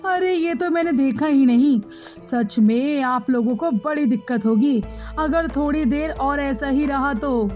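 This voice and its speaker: A woman voicing a chatty, homely middle-aged mother-in-law in an animated moral story. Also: high-pitched, measured pace, soft background music. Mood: worried.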